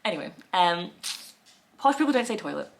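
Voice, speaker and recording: A woman in her thirties with an Australian accent, chatting wryly to camera from her living room, with soft laughs and fillers. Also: lowish voice, sing-song, a little fast, creaky, slight background noise, poor audio. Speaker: lifestyle vlogger. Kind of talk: casual talk to camera.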